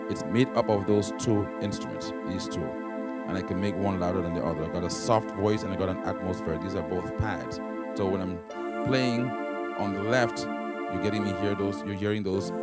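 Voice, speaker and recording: A man casually demonstrating a keyboard's sounds, explaining step by step and often checking in with "right?" and "okay?". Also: deep voice. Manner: halting, with fillers and restarts. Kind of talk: casual instrument demo. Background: keyboard pads and guitar playing.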